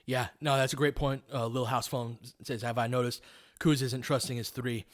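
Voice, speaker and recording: A man's voice: medium pitch, little pitch movement, moderate pace. A man calling live basketball play-by-play in a casual, conversational, analytical way. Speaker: play-by-play host.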